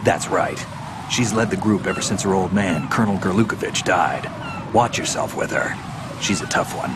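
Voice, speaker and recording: A man's voice: low voice, animated, moderate pace, heavy background noise. A man voicing a tough, seasoned soldier in a video game, blunt, terse and matter-of-fact. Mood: briefing, wary. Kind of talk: dramatic video game voice acting.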